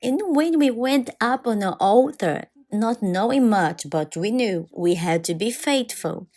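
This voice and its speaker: Slow-paced woman narrating a testimony. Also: on the high side, lively intonation, darkish tone. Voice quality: non-native English with halting, irregular grammar. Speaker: voice-over translator of a church testimony. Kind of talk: dubbed first-person testimony narration.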